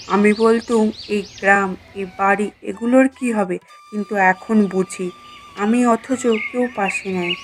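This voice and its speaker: A woman's voice: mid-range voice, noisy background, natural voice. A woman voicing a sorrowful, lonely elderly village widow in a cartoon story, speaking in a plaintive, reflective way. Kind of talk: dramatic cartoon story dialogue. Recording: music behind the voice.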